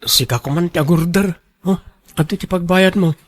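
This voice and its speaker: A man's voice: sits high, moderate pace, no echo. An actor playing a humble, insecure young working man in love, earnest and hesitant, in an Ilocano radio drama. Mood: nervous.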